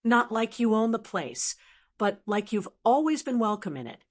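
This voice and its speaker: A woman's voice: on the high side, big pitch swings, moderate pace. Woman reading a patient, instructive older woman. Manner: instructive, gentle.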